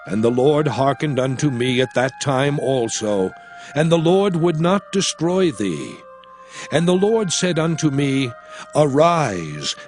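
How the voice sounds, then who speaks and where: A man playing a solemn prophet who exhorts his people in a measured, declamatory delivery for a dramatized scripture recording. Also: fairly high pitch, a little mellow.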